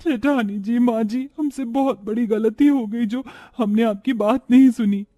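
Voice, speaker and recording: A man's voice: very high-pitched, a little slow, warm tone, no room sound. A man voicing a brazen, vain young modern daughter-in-law in a story, with a theatrical delivery. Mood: apologetic. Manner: defiant, theatrical.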